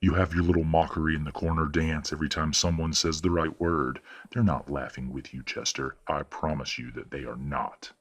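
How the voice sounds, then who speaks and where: A man playing a condescending, manipulative werewolf father in an audio roleplay, speaking in a measured, patronizing and coldly genteel way. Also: steady tone. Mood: cold contempt. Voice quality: deep, husky. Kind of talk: audio roleplay drama acting.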